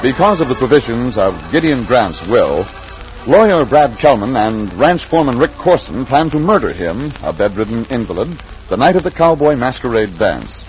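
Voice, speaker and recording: An American man narrating a 1940s radio serial with dramatic, crisp storytelling. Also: medium pitch, very animated, normal speed. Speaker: announcer and narrator. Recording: muffled vintage radio, faint organ music.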